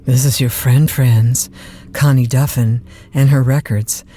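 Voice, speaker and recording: A woman's voice: very deep voice, fairly even intonation, a little slow, mellow. An actress playing a brash, flustered woman disc jockey on the overnight shift in a radio drama, with wisecracking, folksy, exasperated old-time patter. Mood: folksy sales patter. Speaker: radio host.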